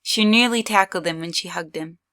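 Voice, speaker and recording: A woman's voice: on the low side, normal speed, sharp tone. A woman reading scripted third-person story narration as the narrator of an audio drama.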